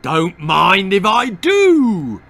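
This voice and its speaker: A man voicing a huge, ugly, greedy giant's son in a children's storybook, gloating with a slow, gleeful drawl. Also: high-pitched, wildly swooping pitch, creaky, pushed and bright, faint music behind, voice pushed up, exaggerated voice.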